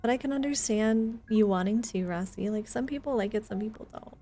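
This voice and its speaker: A woman's voice: on the high side, fairly quick, mellow, faint music behind. A woman chatting informally and playfully with viewers while she streams a video game. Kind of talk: casual live gaming chat with viewers.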